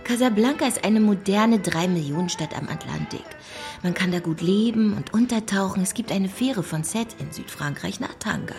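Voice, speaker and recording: A woman's voice: mid-range voice, lively intonation, moderate pace, a little mellow, close to an everyday voice. An actress playing a flirty, romantic woman bank robber who loves literature, in a German radio drama with music behind her. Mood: eager, persuasive.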